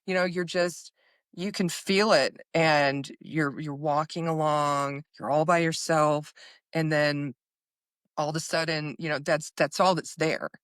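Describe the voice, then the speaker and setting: A woman with a slightly low voice, speaking as a podcast host. She is conversational and affirming, chatting casually with her guest. The recording is dry, with little room sound. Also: fairly even intonation, a little slow, dry recording. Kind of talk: casual interview chat.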